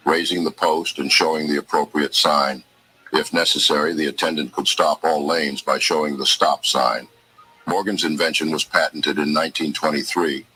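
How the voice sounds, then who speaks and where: Super deep guy narrating, husky, bright edge. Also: low-quality recording. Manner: steady list-style reading. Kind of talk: documentary narration.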